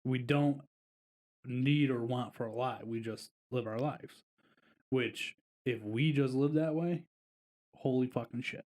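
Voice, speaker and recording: A man's voice: mid-range voice, takes it slowly, a little mellow. A man co-hosting a casual podcast, telling a long, rambling vacation story with plenty of fillers. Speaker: podcast co-host.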